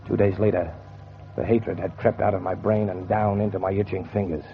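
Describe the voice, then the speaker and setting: A man's voice: low-pitched, fairly even intonation, fairly quick, over loud music. An actor playing a bitter, cold-blooded man bent on revenge, narrating in brooding 1940s radio noir style with sardonic deliberation. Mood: grim, determined. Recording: dull, noisy 1940s radio recording.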